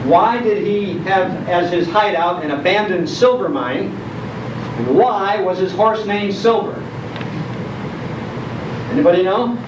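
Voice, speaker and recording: A man's voice: sits high, animated, slow pace, pauses often. An older American man from Chicago giving a humorous, nostalgic storytelling talk to a live audience. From Chicago, United States. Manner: storytelling, rhetorical questions, humorous. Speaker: public speaker on old-time radio. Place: indoor program hall before an audience. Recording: echoey hall, distant mic, noisy, dull.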